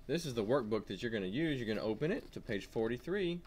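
A man teaching kindergarteners with an animated read-aloud, asking simple questions as he goes. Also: mid-range voice, fast talker, slight background noise. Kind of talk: read-aloud lesson for young children.

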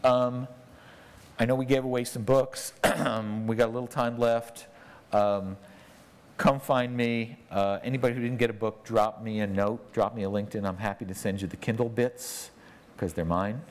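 A man's voice: mid-range voice, steady tone, normal speed. A middle-aged man giving a conference talk on stage, speaking conversationally and often ending points with "right?", on a lapel mic. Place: large conference hall on stage. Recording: long reverb of a large hall.

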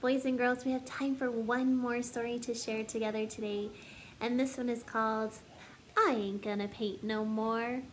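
A woman in her thirties reading aloud and talking to young children like a teacher, in an expressive, deliberate, sing-song manner. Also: on the high side, slight background noise. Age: 30s. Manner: slow, deliberate, animated teacher delivery addressing children. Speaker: first grade teacher hosting a children's story time. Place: living room at home, indoors.

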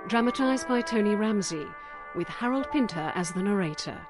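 Woman, radio announcer, middle pitch, scripted introduction, music underneath. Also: animated, normal speed. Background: orchestral music with horn.

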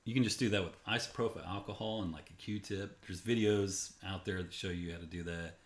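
Male tutorial narrator, low voice, informal and unscripted, walks through steps plainly. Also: fairly even intonation, a little fast. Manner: informal, unscripted, step-by-step explaining. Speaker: tutorial presenter. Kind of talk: casual screen-recorded how-to narration. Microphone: voice-over on a screen capture.

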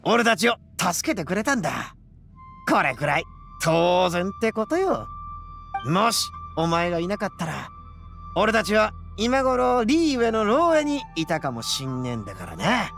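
A man voicing a brash, hot-blooded, good-hearted oni gang boss in an anime game, with loud, swaggering, rough speech. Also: high-pitched, big pitch swings, moderate pace, heavily acted. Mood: hearty, boisterous. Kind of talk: Japanese anime game dub acting. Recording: music under the voice.

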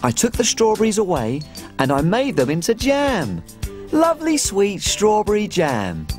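A performer voicing a tiny cheerful cook on a children's show, telling a story in an excitable way. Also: mid-range voice, unhurried, soft background music. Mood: storytelling. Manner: bouncy, excitable.

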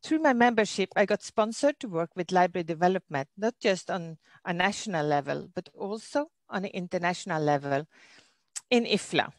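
A woman's voice: on the low side, expressive, no echo. Norwegian-accented woman presenting, dry close sound. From Norway. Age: middle-aged. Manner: careful, slightly halting non-native English. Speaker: library director giving a presentation. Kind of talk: webinar presentation. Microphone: computer mic on a video call.

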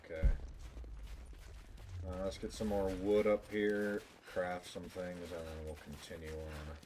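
Low-voiced, unhurried man gaming. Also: flat delivery. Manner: joking, sweary, muttering to himself. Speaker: video game streamer. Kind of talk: casual gameplay commentary. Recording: clear background game noise.

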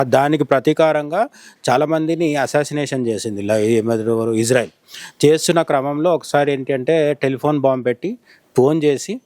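A man's voice: medium pitch, darkish tone, no room sound. A man giving informal news analysis in conversation, explaining and often rephrasing as he goes. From India. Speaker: journalist interviewed as commentator.

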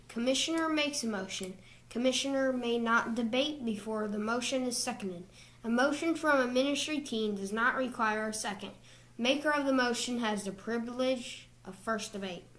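A woman reading procedural rules from slides in a measured, scripted narration. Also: a little high, a bit bright.

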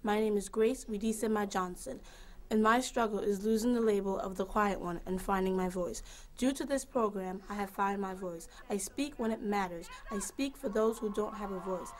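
A sixteen-year-old girl reciting a spoken-word testimony in a steady, declamatory way. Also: medium pitch, a little hiss or hum. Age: teens.

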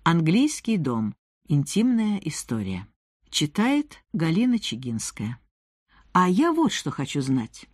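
Expressive woman reading an audiobook. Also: low voice, takes it slowly, no echo, studio quality. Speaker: audiobook narrator. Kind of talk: scripted narration, nonfiction audiobook reading.

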